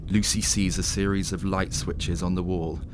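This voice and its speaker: A man narrating a scripted horror story in a dramatic, deliberate storytelling manner. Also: deep voice, little pitch movement. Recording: clearly noisy background ambience.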